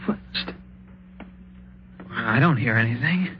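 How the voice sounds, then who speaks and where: An actor playing a practical, skeptical but jumpy young husband in a 1950s radio suspense drama, talking in halting, brisk phrases. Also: male voice, mid-range voice, animated. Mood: groggy, doubtful. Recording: muffled, noisy old radio recording.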